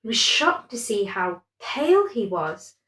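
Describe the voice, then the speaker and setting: Slow-paced woman storyteller, roomy sound. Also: mid-range voice, very animated, fairly bright tone. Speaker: storyteller, author reading own story. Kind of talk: scripted bedtime story narration.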